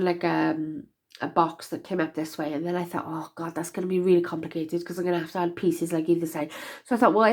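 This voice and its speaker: A young woman talks through a craft project in a loose, chatty way, full of "um" and "like". Her voice sounds stuffy and nasal from a cold. She keeps a relaxed, unscripted flow as she thinks out loud. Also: lowish voice. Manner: chatty, rambling with many fillers. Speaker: crafter demonstrating box making. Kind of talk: casual craft-along chat.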